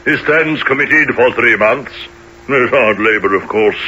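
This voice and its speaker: Blustering, sharp-voiced pompous magistrate. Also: male voice, mid-range voice, slow. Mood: irritable, brusque. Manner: blustering, dismissive, brusque. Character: pompous, impatient, callous male magistrate. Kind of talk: radio drama acting. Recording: dull lo-fi radio recording, faint noise.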